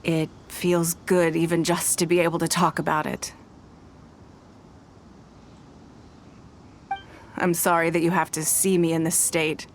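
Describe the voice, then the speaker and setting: A woman playing a composed, wealthy noblewoman leader in a video game, speaking in a measured, formal way with private grief underneath. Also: lowish voice, level tone, slight background noise, close to an everyday voice. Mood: vulnerable, confiding. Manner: measured, formal, eloquent, poised. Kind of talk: scripted video game dialogue.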